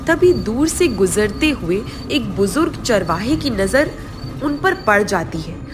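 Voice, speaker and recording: A woman storyteller giving dramatic scripted narration of an animated tale. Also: medium pitch, takes it slowly, noisy background.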